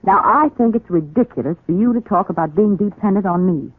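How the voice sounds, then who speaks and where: An actress playing a devoted, firm young wife in a 1940s radio soap opera, earnest, insistent and affectionate. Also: female voice, medium pitch, animated, fairly quick, breathy edge. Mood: firm, reassuring. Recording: muffled, echoey 1940s radio broadcast recording.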